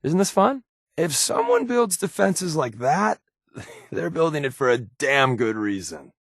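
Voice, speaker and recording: An actor voicing a sardonic, wisecracking secret agent companion in a video game, with a dry, joking manner. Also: male voice, fairly high pitch, wildly swooping pitch, measured pace, fairly bright tone, no room sound, full character voice. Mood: wry, casual. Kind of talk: game voice acting.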